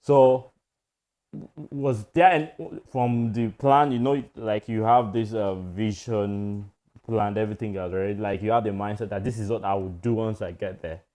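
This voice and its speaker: A man's voice: lowish voice, steady tone, measured pace, dark tone. A male host in a casual interview, restating answers and asking follow-up questions. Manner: probing, restates and checks answers.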